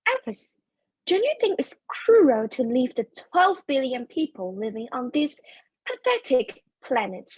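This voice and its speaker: Woman acting a high, swooping, taunting young lover. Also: sing-song, unhurried, husky, no echo, full character voice, poor audio. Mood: mocking. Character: giddy, blissfully cruel young woman in love. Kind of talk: theatrical reader's-theatre acting.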